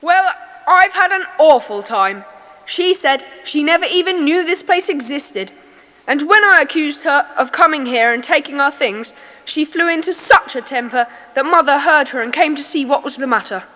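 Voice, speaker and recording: A woman's voice: sits high, animated, moderate pace, bright, forceful tone, in a large hall. A woman playing an earnest, puzzled schoolboy in a children's adventure audio drama. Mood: exasperated.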